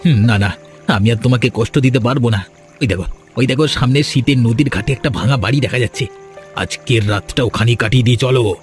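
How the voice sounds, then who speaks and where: A man playing a humble, protective young carpenter on the run with his sweetheart, earnest and excitable, in a cartoon. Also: medium pitch, a little fast, soft background music, natural voice. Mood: earnest, reassuring. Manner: earnest, excitable, stammers when alarmed. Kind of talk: Bengali cartoon melodrama acting.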